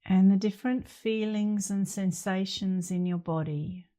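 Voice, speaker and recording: A middle-aged woman leading a guided meditation as an instructor, speaking gently and leaving long pauses between phrases. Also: mid-range voice, fairly even intonation.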